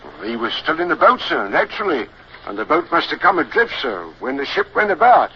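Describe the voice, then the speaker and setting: An actor playing a loyal common sailor in a 1950s radio drama, speaking deferentially in folksy, ungrammatical phrases. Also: male voice, a little high, very animated, brisk, husky, full character voice. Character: plain-spoken, respectful common sailor, a loyal subordinate. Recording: dull, hissy old radio recording.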